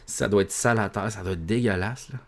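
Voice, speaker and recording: Lively Quebec French man telling stories. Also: lowish voice, fast. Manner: animated storyteller, swears and laughs often. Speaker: podcast host. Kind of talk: casual chat.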